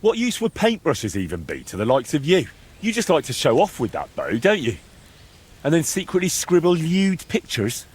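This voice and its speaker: A man's voice: fairly high pitch, wildly swooping pitch, normal speed, a little mellow, slightly rough, exaggerated voice. A man playing a bold, blunt young hero in a medieval video game, direct and wryly challenging. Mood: wry, taunting. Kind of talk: video game dialogue acting.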